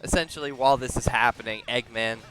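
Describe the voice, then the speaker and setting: A young man giving a casual movie review close to the microphone, halting and often repeating words as he starts over. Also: medium pitch, a bit bright, poor audio. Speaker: video reviewer. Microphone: very close to the mouth.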